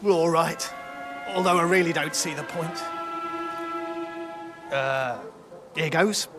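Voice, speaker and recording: Mode: a rambling fortune-teller, slow and wry. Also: male voice, on the high side. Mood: resigned. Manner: chatty, self-deprecating, slow. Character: rambling failed fortune-teller, self-mocking. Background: game score music.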